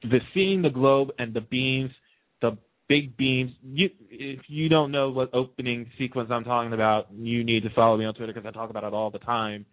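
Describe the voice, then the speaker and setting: A man's voice: medium pitch, fairly even intonation, normal speed, no room sound. A man chatting casually as a guest in a radio interview, telling stories in a talkative way. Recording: narrow, tinny phone-line sound.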